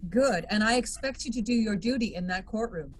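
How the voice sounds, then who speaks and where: A woman's voice: mid-range voice, lively intonation, brisk, natural voice. Woman as a firm legal officer, slightly noisy recording. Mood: stern. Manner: formal, authoritative. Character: firm, by-the-book female military legal officer. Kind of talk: amateur table read of a sci-fi script. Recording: some background noise.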